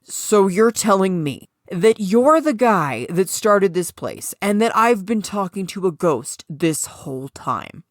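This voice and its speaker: A woman's voice: medium pitch, sing-song, slow pace, hardly any pauses, no room sound, clean studio sound. A woman voicing a blunt, incredulous theatre man in a short story reading. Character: Jerry, blunt sceptical man running a theatre show.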